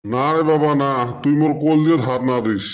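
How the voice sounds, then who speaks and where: A man's voice: fairly high pitch, a little fast, natural voice. Man acting a sarcastic, grumbling village husband. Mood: dismayed. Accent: rural northern Bengali dialect of the Assam-Bengal border. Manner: sarcastic, muttering complaints. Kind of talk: comedy cartoon dialogue.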